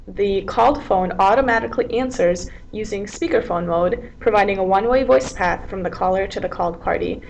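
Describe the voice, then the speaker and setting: A woman presenting a software tutorial in an informal, explanatory, step-by-step way. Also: mid-range voice, gravelly, slight background noise, rough recording. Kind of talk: software tutorial narration, semi-scripted.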